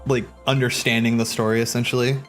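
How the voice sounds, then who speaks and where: A man hosting a livestream, commenting casually on game cutscenes in an excitable, rambling, slangy way. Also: medium pitch, quick pace. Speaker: livestream host. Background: game soundtrack music.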